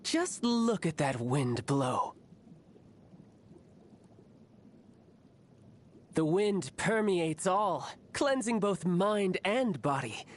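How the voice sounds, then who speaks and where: A man's voice: high voice, sing-song, slow, exaggerated voice. A man playing a cocky, cheerful young warrior in a video game, with a playful, confident, theatrical delivery. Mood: musing. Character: cocky, cheerful young swordsman who relishes battle.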